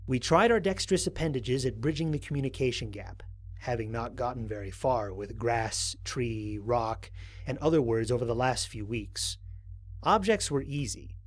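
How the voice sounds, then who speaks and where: A man playing a thoughtful, wary space explorer, narrating scripted mission logs in a measured, articulate, reflective way. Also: mid-range voice, lightly acted. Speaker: narrator of a fan audio drama. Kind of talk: scripted first-person mission log narration.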